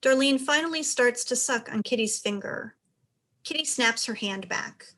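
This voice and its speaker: A woman's voice: on the high side, expressive, moderate pace, fairly bright tone. A woman giving scripted narration, reading out the stage directions of a play.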